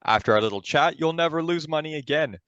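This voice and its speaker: A man playing a shady, pushy pitchman in a parody radio commercial, with slick sales patter and rushed disclaimers. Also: expressive, a bit bright, smooth, dry recording, highs cut off. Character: pushy fast-talking shady male robot-company infomercial pitchman.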